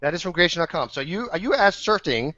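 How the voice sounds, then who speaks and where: A man's voice: on the high side, animated, fast talker, a crowd in the background. A man moderating a heated live online debate, insistently pressing for direct answers. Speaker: host moderating a debate panel. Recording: dull, lo-fi online call audio.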